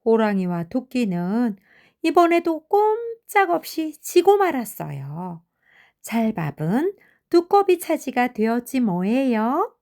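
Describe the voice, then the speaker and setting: Slow, lively high-voiced woman storyteller. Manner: expressive, measured storybook delivery. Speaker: children's storyteller. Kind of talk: animated fairy tale narration.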